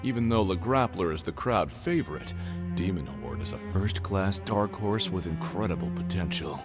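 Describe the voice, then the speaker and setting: An actor voicing a condescending ticket seller at a fight arena in a video game. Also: male voice, sits low, animated, normal speed. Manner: condescending, salesman-like. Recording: game audio with music. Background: game music.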